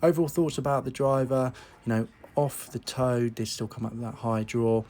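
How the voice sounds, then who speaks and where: A man with a croaky, under-the-weather voice talks casually through a gear review. His pitch sits in the middle range, and he keeps it loose and chatty, filling gaps with "you know" and "um" as he reads out numbers. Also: fairly even intonation, moderate pace, a little mellow, a little hiss or hum. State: croaky voice from a cold. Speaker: golf equipment reviewer, channel host. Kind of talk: casual product review talk.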